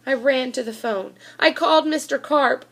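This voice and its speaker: A woman reading a children's storybook aloud in an expressive narrating style. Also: high voice, normal speed, gravelly.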